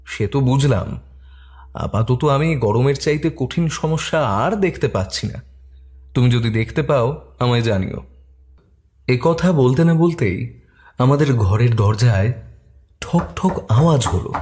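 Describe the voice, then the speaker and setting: A man narrating a detective story in the first person with expressive delivery. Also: medium pitch, a little slow, pauses often.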